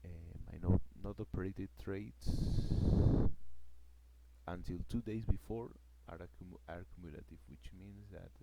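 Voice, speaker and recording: A man from Peru with a Peruvian Spanish accent, a native Spanish speaker explaining his trading plan in a casual vlog. He talks haltingly, searching for words, with many fillers and restarts. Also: sits low, creaky. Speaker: forex trader vlogger. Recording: loud background noise.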